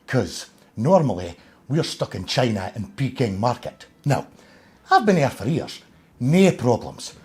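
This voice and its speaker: Bloke doing a cheeky panto dame, husky voice going up and down loads, broad doric scottish, gossipy mum type. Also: male voice, medium pitch, sing-song, measured pace, darkish tone, full character voice. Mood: gossipy, mock-indignant. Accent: North-East Scottish Doric. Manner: gossipy, exaggerated. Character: chatty, cheeky middle-aged pantomime dame, a widowed mother. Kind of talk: comic pantomime monologue to the audience.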